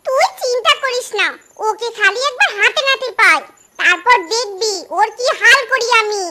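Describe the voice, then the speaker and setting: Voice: very high-pitched, moderate pace, heavy background noise. An actor voicing an angry, vengeful cartoon dinosaur in a threatening, scolding manner. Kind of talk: exaggerated children's cartoon acting. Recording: music behind the voice.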